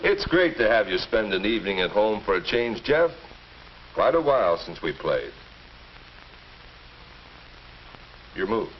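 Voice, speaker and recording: A middle-aged American man playing a calm, understanding father, measured and reassuring, in a 1950s educational film. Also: lowish voice. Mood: friendly, probing. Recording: dull, noisy old film soundtrack.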